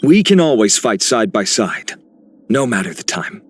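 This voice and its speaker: A man's voice: mid-range voice, big pitch swings, normal speed, slightly rough. An actor playing a noble, earnest heroic man in a fantasy video game, with formal phrasing. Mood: warm, earnest. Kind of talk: scripted game character voice lines.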